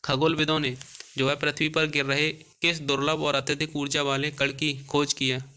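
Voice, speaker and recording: Bright, animated male quiz teacher. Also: on the high side, clean tone. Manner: brisk question-and-answer delivery, repeats key terms. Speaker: exam coaching teacher. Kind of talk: reading out current affairs quiz questions and short answers.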